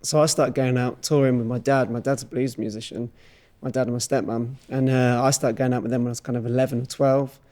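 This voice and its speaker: A man's voice: mid-range voice, fairly even intonation, fast talker, mellow. A man in his thirties, a touring musician, talking casually and reflectively in an interview. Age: 30s.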